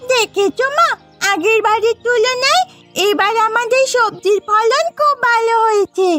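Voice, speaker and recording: Very high, sweet little village girl. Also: unhurried, fairly bright tone, soft background music. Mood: cheerful. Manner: sweet, eager. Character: little village girl, cheerful, comforting daughter. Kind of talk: animated moral story voice acting.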